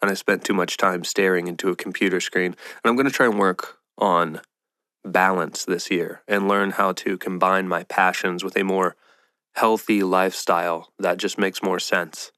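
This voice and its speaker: A man with a low-pitched voice chats casually as a solo podcast host. He sounds nasal and stuffed up with a head cold, talking informally in a very dry, close recording. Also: steady tone, normal speed, bright, forceful tone, no echo. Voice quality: nasal, congested.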